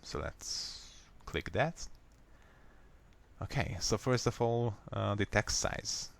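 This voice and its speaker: A man with a Hungarian accent, a native Hungarian speaker, calmly walking viewers step by step through a software fix in a screen recording. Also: fairly low pitch, vocal fry. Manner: unhurried, plain, step-by-step explaining. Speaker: software tutorial maker. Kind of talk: screen-recorded how-to walkthrough.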